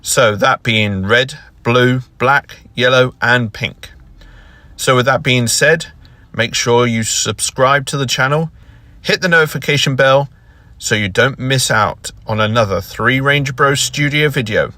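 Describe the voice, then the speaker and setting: A man presents as a video host with a prepared, introductory delivery. His voice is bright and sharp, at a middling pitch. The sound is dry, with very little room in it. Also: unhurried, no room sound. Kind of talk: scripted video intro to camera.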